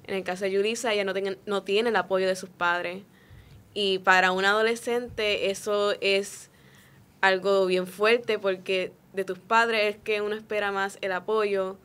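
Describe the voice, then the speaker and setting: A woman's voice: mid-range voice, fairly even intonation, measured pace, a bit bright, clean tone. A young woman with a Puerto Rican accent giving reflective, explanatory answers in a conversational interview. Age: young adult. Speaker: student voice actor, guest.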